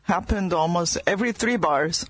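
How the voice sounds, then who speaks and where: A middle-aged woman with a Korean accent chats easily in a radio studio, laughing often between her words. Her voice is a little husky, and the sound is close and dry. Her English carries small grammar slips from her first language. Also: fairly low pitch, normal speed, dry recording. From South Korea. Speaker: guest organist. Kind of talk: casual radio interview.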